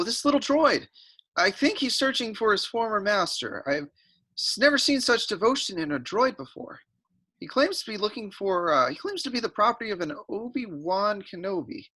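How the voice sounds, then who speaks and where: A woman playing an earnest, eager young farm boy in an expressive script reading. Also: a little high, normal speed, breathy edge. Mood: eager, curious. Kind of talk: script table read acting.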